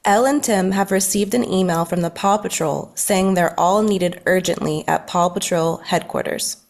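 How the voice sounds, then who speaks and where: A woman narrates a script at a table read, reading out the stage directions. Her voice is heavy with vocal fry and creaks throughout. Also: lowish voice, moderate pace, darkish tone.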